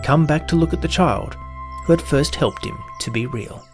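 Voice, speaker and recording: Calm male storybook narrator. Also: on the high side, moderate pace, faint music behind.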